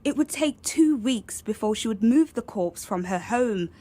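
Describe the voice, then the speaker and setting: A woman's voice: on the high side, animated, a little slow, dark tone. A woman reading scripted narration for a crime report.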